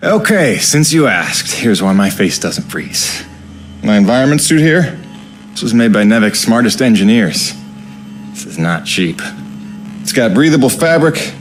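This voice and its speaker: A man's voice: mid-range voice, close to an everyday voice. An actor playing an affable working-man rig pilot in a game, recording wry, teasing personal messages. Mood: playful. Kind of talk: casual personal video message. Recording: loud music behind voice. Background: music, guitar.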